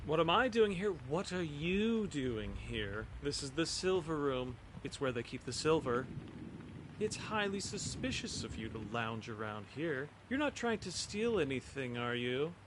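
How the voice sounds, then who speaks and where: A man's voice: fairly high pitch, sing-song, measured pace, background noise. A man playing a shifty, haughty suitor in a video game, defensive and suspicious in manner. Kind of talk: acted game dialogue.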